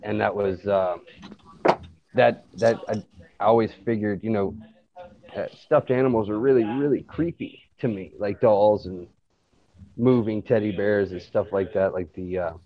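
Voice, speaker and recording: A man's voice: on the low side, a little slow. A man from Louisiana chatting casually as a writer guest, hesitant and self-effacing, on dull lo-fi audio. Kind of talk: casual chat.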